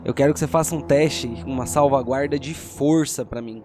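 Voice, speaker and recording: A man's voice: mid-range voice, fairly quick, a little mellow. A man with a Brazilian accent narrating a tabletop role-playing game as game master, telling the story dramatically and checking in with players. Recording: music behind the voice.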